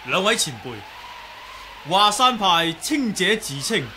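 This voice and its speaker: An actor playing a principled young martial arts hero with a polite, assured, formal manner in a 1980s radio drama. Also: male voice, high voice, big pitch swings, takes it slowly, pushed and bright, full character voice. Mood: courteous, reasoning. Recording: noisy old radio drama recording.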